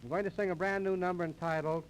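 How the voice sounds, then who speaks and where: A man's voice: high voice, steady tone, fast, slight background noise, poor audio. An American man doing 1930s radio comedy patter and mock recitation in a folksy, mock-formal showman's manner. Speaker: comedian in a singing comedy duo. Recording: old 1930s radio transcription disc, music behind.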